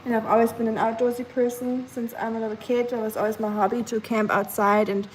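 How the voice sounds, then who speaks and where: A woman's voice: fairly high pitch, fast, slight background noise, poor audio. A young woman live streaming from her kitchen, half asleep, chatting casually with lots of fillers and reading her chat aloud. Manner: chatty, many fillers, sleepy and relaxed. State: sleepy. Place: home kitchen.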